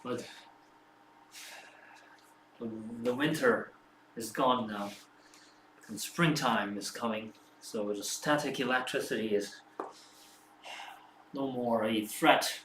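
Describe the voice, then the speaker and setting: Slow halting guy talking to himself after cardio, english not his first language, echoey home room, mic kinda far. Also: medium pitch, a bit bright. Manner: rambling, halting, self-reflective. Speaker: fitness streamer. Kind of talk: casual musing after a workout. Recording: roomy echo, distant mic, faint background hum.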